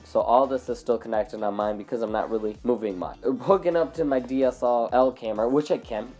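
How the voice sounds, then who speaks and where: A man's voice: on the low side, mellow. Sick man losing his voice. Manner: rambling, self-correcting. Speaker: product reviewer. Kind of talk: casual unboxing review. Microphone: clip-on lavalier into phone.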